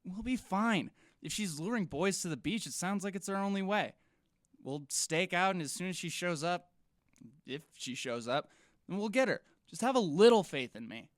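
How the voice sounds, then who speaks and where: A woman's voice: lowish voice, expressive, moderate pace, dry recording, clean studio sound, lightly acted. A young woman playing an eager, dramatic teenage friend in an audio drama, earnest and insistent.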